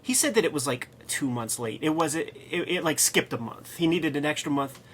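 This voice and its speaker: Higher-voiced man excitedly riffing on comics. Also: a little fast, a little hiss or hum. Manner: slangy, profane, riffing. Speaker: co-host of a comics review show. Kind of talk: casual, excited comic-book discussion.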